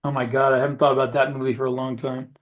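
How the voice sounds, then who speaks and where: A man co-hosting a podcast, giving his opinions in a casual, halting way. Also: medium pitch, little pitch movement. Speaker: podcast co-host, author. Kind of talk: casual discussion of writing.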